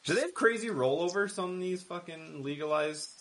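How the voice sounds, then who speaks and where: A man's voice: sits high, animated, normal speed, fairly bright tone. A man co-hosting a casual podcast about fights and betting, blunt and heavy on swearing. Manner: profane, blunt, complaining. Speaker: podcast co-host.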